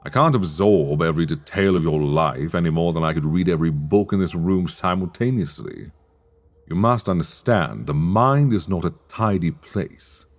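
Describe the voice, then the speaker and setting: A man performing older male sorcerer, very low creaky voice, silky menace, teasing condescension, fantasy ASMR roleplay, long cavernous reverb. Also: lively intonation. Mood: dry, haughty. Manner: deliberate, condescending, silky, teasing, formal diction. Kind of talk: slow dark fantasy ASMR roleplay acting.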